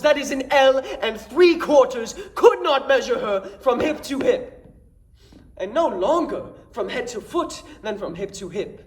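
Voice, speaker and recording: A man playing an exasperated, mocking Shakespearean manservant in an animated, declamatory comedy monologue. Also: extremely high pitch, pitched above the performer's own voice. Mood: appalled, mocking. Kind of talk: theatrical classical comedy monologue.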